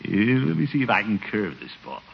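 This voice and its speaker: An actor playing a pompous, blustering middle-aged uncle with a booming, chuckling manner in a 1950s radio comedy. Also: male voice, sing-song, brisk, gravelly. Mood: boastful. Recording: dull, noisy 1950s radio recording.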